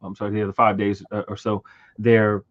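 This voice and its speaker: A man in his thirties chats on a livestream in a fairly low voice, stumbling and repeating words as he goes. The audio comes through a little muffled and dull. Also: flat delivery. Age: 30s. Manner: halting, many repeated words and fillers. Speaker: livestream host. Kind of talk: casual livestream chat.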